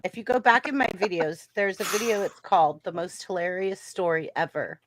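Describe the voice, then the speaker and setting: A woman's voice: lowish voice, brisk. A woman panelist and video creator, playfully teasing a story from her videos in casual chat. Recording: clear background noise.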